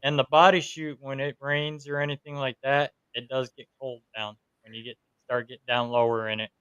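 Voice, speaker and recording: A man's voice: medium pitch, level tone, brisk, a bit bright, clean tone, muffled recording. A man from New York hosting a podcast, telling stories from investigations in a casual interview chat. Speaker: podcast host.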